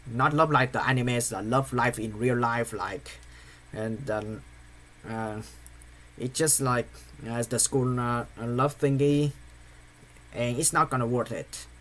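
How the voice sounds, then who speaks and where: A young man from Vietnam with a Vietnamese accent, English not his first language, giving a halting, apologetic personal confession full of fillers and restarts. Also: medium pitch, steady tone, fairly bright tone. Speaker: channel host.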